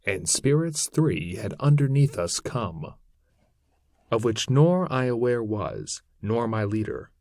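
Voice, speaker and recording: A man narrating an old epic poem aloud in a solemn, measured, theatrical manner with archaic phrasing. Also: medium pitch, very animated, darkish tone, dry recording, clean studio sound. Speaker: narrator reading poetry aloud. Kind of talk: dramatic recitation of an epic poem in archaic English.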